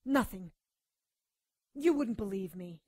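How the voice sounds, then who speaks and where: A woman's voice: medium pitch, expressive, takes it slowly, a little mellow, no echo, clean studio sound. A woman playing a feisty, outspoken doctor who also narrates her supernatural case in a radio drama. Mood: defiant. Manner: defiant, outspoken. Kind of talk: radio drama acting with first-person narration.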